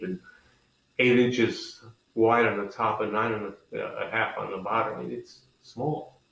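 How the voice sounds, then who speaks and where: A man speaking as an interviewed company president, explaining products conversationally and with enthusiasm. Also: mid-range voice. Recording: echoey, roomy sound.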